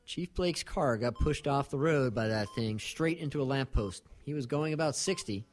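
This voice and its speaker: An actor playing an anxious, deferential colleague in an audio drama, plain-spoken and a little hesitant. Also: male voice, mid-range voice, expressive, moderate pace, lightly acted. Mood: grim, subdued. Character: anxious, deferential male colleague bearing grim news.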